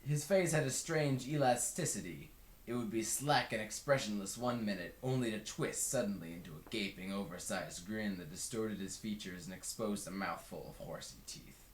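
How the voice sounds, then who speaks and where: A man reads a book aloud as narrator. His voice sits on the low side. The home recording is roomy, with clear echo around the words. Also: normal speed. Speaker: read-along narrator.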